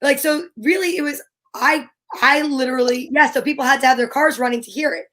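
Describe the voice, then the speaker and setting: A woman talk show host chatting casually, telling stories in an animated, rambling way. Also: high voice, fairly quick. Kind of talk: casual chat.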